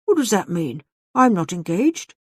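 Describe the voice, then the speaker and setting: An earnest young gentleman from a storybook romance, acted out by a woman narrator, with formal, guarded phrasing and an emphatic delivery. The voice is raised in pitch and recorded close and dry. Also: big pitch swings, unhurried, slightly rough, no room sound. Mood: defensive.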